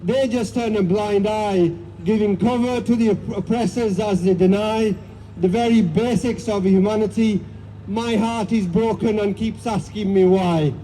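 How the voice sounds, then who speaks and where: A man's voice: high voice, a little slow, mellow, slight background noise, low-quality recording. A man at an outdoor street rally who reads out a heartfelt poem after a few words to the crowd. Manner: plain, heartfelt recitation with fillers. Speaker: rally speaker reading a poem. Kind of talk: reading a poem at a street protest.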